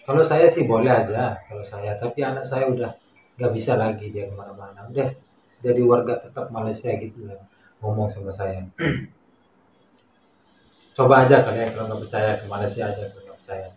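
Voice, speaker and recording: A man's voice: on the low side, brisk, a little mellow. A man with an Indonesian accent chatting casually to viewers in an emphatic, colloquial, repetitive way. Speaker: vlogger, Indonesian migrant worker in Malaysia. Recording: echoey room with faint noise.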